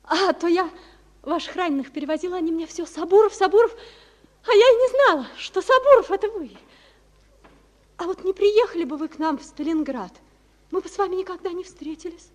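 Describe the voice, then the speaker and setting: High-voiced young wartime woman. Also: fairly quick, darkish tone. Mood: frank, curious. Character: young local woman in wartime, shy and sincere. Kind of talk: 1940s-set wartime radio drama acting.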